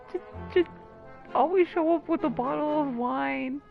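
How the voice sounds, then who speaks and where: A woman game streamer with a fairly high voice and an unhurried pace, reacting casually with teasing exasperation. The recording is a little dull, with music underneath. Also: gravelly. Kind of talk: casual reactive gaming commentary.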